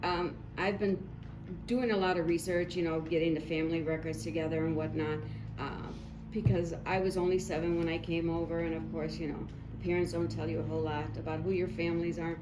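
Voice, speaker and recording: A woman's voice: fairly low pitch, little pitch movement, fairly quick. A woman in her seventies, born in Italy, introducing herself from the audience in a chatty, friendly way. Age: 70s. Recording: roomy hall with noise.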